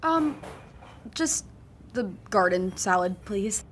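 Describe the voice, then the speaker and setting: A shy teenage girl in an audio drama. She is polite and hesitant, with a mid-pitched voice that swings up and down. Light ambient noise surrounds her. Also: expressive, slow. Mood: awkward. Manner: hesitant, self-effacing. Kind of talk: audio fiction drama acting.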